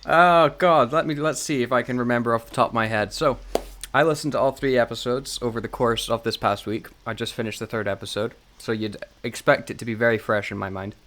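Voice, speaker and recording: A man's voice: medium pitch, a little fast, fairly bright tone. A man co-hosting a casual podcast, talking in a rambling, scatterbrained way and swearing freely. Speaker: podcast co-host.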